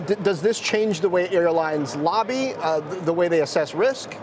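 A man's voice: high-pitched, expressive, moderate pace, a crowd in the background. A man anchoring television news, questioning a guest in a live interview.

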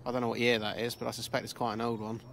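A man's voice: medium pitch, completely flat delivery, rapid-fire, gravelly, a bit bright, a little hiss or hum. A man in his thirties with an English accent, chatting casually to camera as he walks a town on a motorbike trip, joking and pointing things out. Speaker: motovlogger. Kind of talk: casual travel vlog chat. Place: outdoors in town streets.

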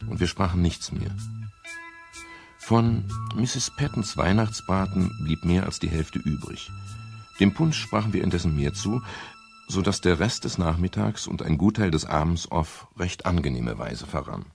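Wry private detective for radio crime drama: very deep, creaky, laconic, chivalrous but weary. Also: male voice, animated, measured pace, a little mellow, soft background music, exaggerated voice. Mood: reflective narration. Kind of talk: 1970s German radio crime drama acting and narration.

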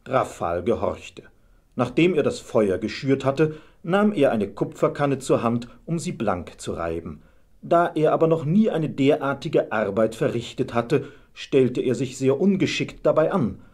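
A male narrator tells a scripted fairy tale. His voice is medium in pitch and noticeably husky. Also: expressive, measured pace, a little mellow. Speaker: storyteller, narrator.

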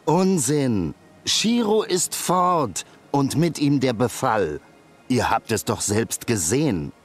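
A man's voice: mid-range voice, big pitch swings, slow pace, soft background music. A man playing a haughty, dismissive baron and war commander in a fantasy game, pompous and declamatory. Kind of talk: dubbed fantasy game cutscene acting.

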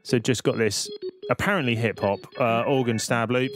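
A man giving a casual, conversational software tutorial voice-over, explaining things step by step. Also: medium pitch, fairly even intonation, normal speed, music in the background. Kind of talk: casual screen-recorded tutorial narration.

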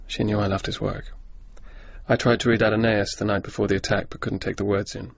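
An actor playing a melancholy, bookish soldier of twenty-three in a radio drama, reflective and subdued. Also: male voice, lowish voice, little pitch movement, a little fast, gravelly, dry recording. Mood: melancholy, resigned. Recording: dry, muffled radio recording.

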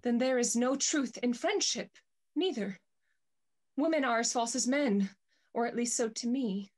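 A woman's voice: a little high, a little slow, a little mellow. A young woman playing a jealous, haughty lady in a theatrical, indignant manner for a Restoration stage comedy. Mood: jealous, bitter. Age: young adult. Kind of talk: Restoration comedy stage acting over video call. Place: small room at home. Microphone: video call.

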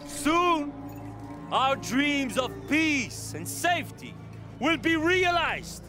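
An actor playing a grandiose, messianic cult leader in a video game, declaiming pompously like a ceremonial orator. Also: male voice, very high voice, big pitch swings, takes it slowly, music in the background, full character voice. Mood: exalted, rallying.

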